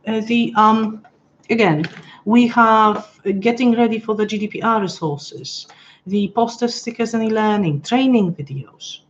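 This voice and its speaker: Hoarse-edged woman hosting a home webinar. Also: fairly high pitch, measured pace, muffled recording, a little hiss or hum. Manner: chatty, hesitant, many restarts and asides. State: losing her voice. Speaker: webinar host. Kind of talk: casual live-stream walkthrough. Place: home room, pet nearby.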